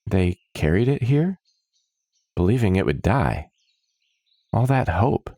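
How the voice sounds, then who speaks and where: A man voicing a grave, earnest adventurer in a fantasy story, with a measured way of talking. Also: lowish voice, sing-song, dark tone, no echo, studio quality, voice pushed up, exaggerated voice. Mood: pained disbelief. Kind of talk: fantasy story character dialogue.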